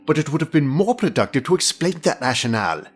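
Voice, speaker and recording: A man's voice: a little high, sing-song, fast talker, faint music behind. Man acting a precise, pedantic senior inspector. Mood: measured, reasoning. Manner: precise, formal, pompous. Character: fastidious, analytical, pedantic male senior inspector. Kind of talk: audio drama acting.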